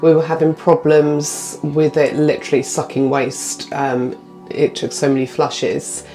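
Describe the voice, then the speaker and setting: A chatty woman in her forties talks to a phone camera held at arm's length inside a small cabin, with plenty of ums. Her voice sits low for a woman. Faint music plays under her. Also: steady tone, a little slow, dark tone, poor audio. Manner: chatty, frequent ums, laughs often. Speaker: vlogger. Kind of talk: casual vlog talk to camera. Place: inside a narrowboat, small room.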